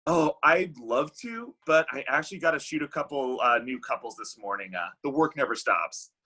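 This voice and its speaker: A man's voice: medium pitch, expressive, moderate pace, pushed and bright, breathy edge. A man reading the part of a flippant, evasive, bitter thirty-year-old Cupid at a comedy table read. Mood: breezy, evasive.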